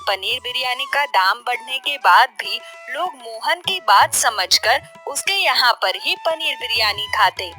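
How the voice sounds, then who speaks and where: A woman reads a children's story as narrator. Her voice is high and expressive, with lively melodic movement, and music plays clearly behind her. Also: measured pace, hardly any pauses.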